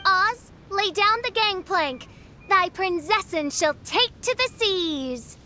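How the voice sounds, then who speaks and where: Imperious young princess for a game: high, grandiose, theatrical, archaic airs. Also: female voice, expressive, slow, bright, forceful tone, slight background noise, heavily acted. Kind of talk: video game character acting.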